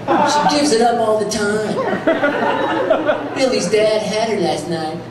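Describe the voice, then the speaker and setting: A man's voice: high voice, lively intonation, slow pace, over a noisy crowd, pitched above the performer's own voice. A man voicing crude bully ducks with mocking sing-song taunts, in a storybook reading. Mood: jeering. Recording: noisy echoey live stage, far mic.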